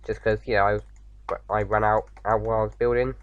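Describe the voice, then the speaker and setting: A man with a slight sore throat, slightly croaky, chatting casually as he hosts a game playthrough, rambling with lots of fillers. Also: on the low side, little pitch movement, fairly quick, lo-fi sound. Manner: rambling, many fillers and restarts. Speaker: gaming video host. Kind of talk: casual let's-play commentary.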